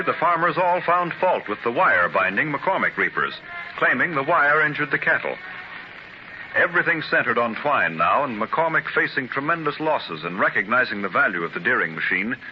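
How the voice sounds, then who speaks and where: Male narrator, 1930s radio; lively delivery, muffled disc, music bed. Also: medium pitch, normal speed, background noise. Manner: declamatory, stately. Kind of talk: scripted dramatic narration.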